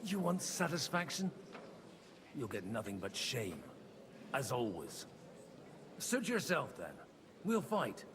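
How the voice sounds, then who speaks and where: A defiant, disgraced medieval brawler-priest for a video game. He talks bluntly and frankly, with a pitch that swings widely from phrase to phrase. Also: huge pitch swings, takes it slowly, long pauses, fairly bright tone, slight background noise, exaggerated voice. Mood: defiant challenge. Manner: blunt, plain-spoken. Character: defiant disgraced brawling ex-priest, nobleman's scorned elder son. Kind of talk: dramatic game dialogue in a medieval setting.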